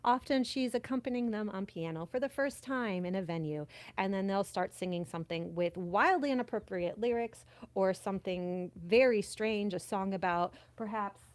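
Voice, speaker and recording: Lively, laughing woman guest, dry sound. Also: mid-range voice, normal speed, dry recording. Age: 30s to 40s, mother of a nine-year-old. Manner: animated storyteller, laughs often. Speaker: podcast guest, performer. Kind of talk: casual interview chat.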